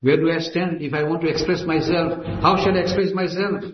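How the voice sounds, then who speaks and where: A man's voice: a little high, steady tone, fairly quick, slight background noise. A man with an Indian accent, a native Gujarati speaker, giving an emphatic, declamatory speech at a meeting. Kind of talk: impassioned speech. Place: assembly hall. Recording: echoey lo-fi hall recording.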